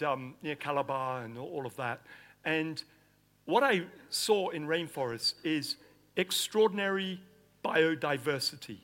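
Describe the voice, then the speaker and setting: A middle-aged man who grew up in Nigeria, preaching in an animated storytelling style and acting out the scenes he describes. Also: a little high. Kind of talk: storytelling sermon.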